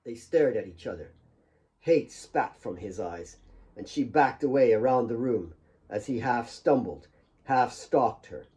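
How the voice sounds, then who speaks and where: A man's voice: mid-range voice, lively intonation, measured pace, a little mellow. A man reading dramatic scripted fiction as an author narrating his own audiobook.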